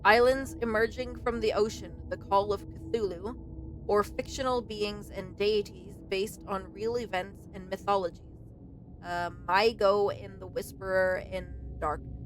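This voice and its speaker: A woman streamer giving casual, expressive let's play commentary as she reacts to a game. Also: mid-range voice, a little hiss or hum, low-quality recording.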